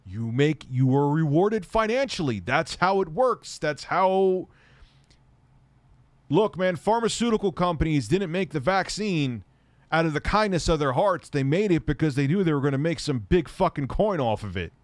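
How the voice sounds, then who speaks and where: A man's voice: fairly high pitch, animated, normal speed, no room sound. A man hosting a casual tech livestream, reading viewer comments in a rambling, profane way and laughing often.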